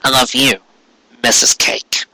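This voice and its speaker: A man voicing a gentle, doting husband pony in a storybook reading, speaking softly and affectionately. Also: unhurried, no echo, low-quality recording. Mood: loving. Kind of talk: fan fiction storybook reading.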